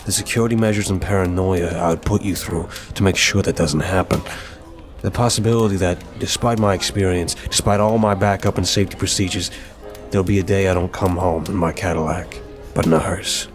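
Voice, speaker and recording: A man's voice: sits low, fairly even intonation, brisk, music in the background, lightly acted. A man playing an apologetic, flirtatious mafia boss who talks to a date in a teasing, earnest, affectionate way, in an ASMR roleplay. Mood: earnest, solemn.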